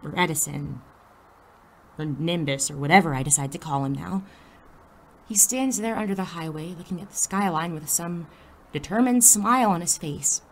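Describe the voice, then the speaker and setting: A woman's voice: on the low side, moderate pace, slight background noise, close to an everyday voice. A woman playing a wary, sarcastic teenage schoolgirl pulled into superhero business, blunt and skeptical, in an audio drama. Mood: wry narrating. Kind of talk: audio drama acting with first-person narration.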